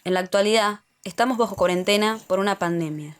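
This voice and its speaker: A woman speaks at a medium pitch. She delivers a formal spoken essay, posing rhetorical questions in careful, composed phrases. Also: fast talker.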